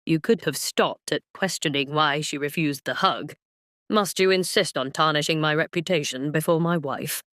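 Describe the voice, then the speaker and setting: A woman's voice: sits low, normal speed, a bit bright, dry recording, studio quality. A woman voicing a teasing, smug male duke in an audiobook romance, formal and playfully indignant. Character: teasing, smug duke, a man feigning innocence.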